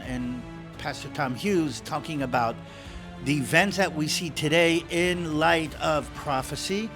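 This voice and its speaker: Middle-aged man, American accent, fairly high voice, casual explainer, fillers and restarts. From born in the United States, grew up in Israel. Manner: conversational, with frequent fillers and repeated words. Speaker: commentator on Middle East events.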